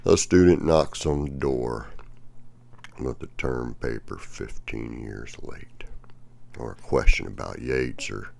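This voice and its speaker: An older man reading aloud from a book with brief asides, in a deliberate delivery that swings from emphatic to hushed. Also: a bass voice, vocal fry, a little hiss or hum. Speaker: reader of a poetry textbook.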